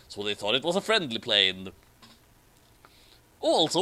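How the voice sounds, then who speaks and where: A man reading a story aloud in an animated, dramatic way with joking commentary. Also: fairly high pitch. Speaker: host reading fan fiction aloud.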